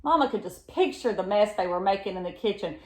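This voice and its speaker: A woman's voice: mid-range voice, expressive, quick pace, reverberant. A woman reading a children's picture book aloud, expressively, with exclamations and chatty questions to her listeners. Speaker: read-aloud storyteller.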